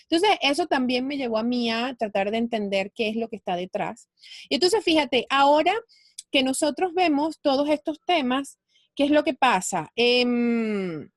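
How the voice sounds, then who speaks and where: A woman with a fairly high voice talks through her ideas in an interview. She explains patiently and thinks aloud as she goes, and her sound is close and dry, with no room around it. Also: lively intonation, moderate pace, no room sound. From Venezuela. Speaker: guest, finance speaker. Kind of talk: conversational interview.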